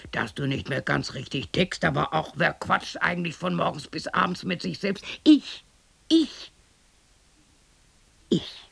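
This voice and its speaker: Casting: sixtyish widow, radio drama monologue, gravelly and breathy, bitter, self-scolding, swinging widely in pitch. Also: female voice, exaggerated voice. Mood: bitter, resolute, then defiant. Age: 60s. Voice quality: husky, creaky, often dropping to a breathy whisper. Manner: talks to herself, scolding and questioning, abrupt shifts. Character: lonely, bitter sixty-year-old widow muttering to herself. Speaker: lead actress in a radio play.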